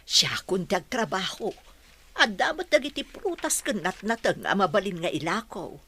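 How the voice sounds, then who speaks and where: A woman's voice: mid-range voice, sing-song, moderate pace, fairly bright tone, slightly rough. A woman with an Ilocano Filipino accent playing a worried, long-suffering rural wife and mother in a radio drama. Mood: worried, resigned.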